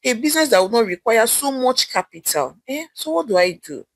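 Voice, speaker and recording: A woman storyteller voicing an excitable, hopeful young woman in a Nigerian accent, exclaiming and talking things over to herself. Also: fairly high pitch, brisk. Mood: pondering.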